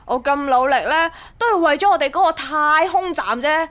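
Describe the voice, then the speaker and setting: A woman's voice: sits high, expressive, normal speed, fairly bright tone. An actress playing a pushy, scheming young woman with a Hong Kong accent, nagging emphatically in a radio drama. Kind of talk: Cantonese radio drama acting.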